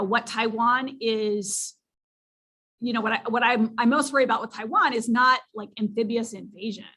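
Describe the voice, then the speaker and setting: A woman's voice: mid-range voice, fairly bright tone, breathy edge, low-quality recording. A woman answering questions at an academic seminar, talking conversationally and filling her sentences with "you know" and "like". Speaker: seminar speaker.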